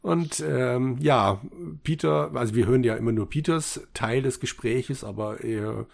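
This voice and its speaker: A man with a slightly rough, husky voice talks as a podcast co-host. He sits at a medium pitch and retells an audio drama story in casual chat, slipping into quoted lines along the way. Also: measured pace.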